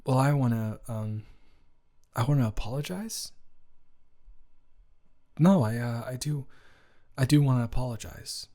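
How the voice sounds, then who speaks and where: A man's voice: medium pitch, mellow, lightly acted. A young man playing a boyfriend's caring best friend in an improvised romantic audio roleplay, talking in a casual, stammering, awkwardly indignant way. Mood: apologetic, earnest. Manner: halting, stammering, informal, repeats himself.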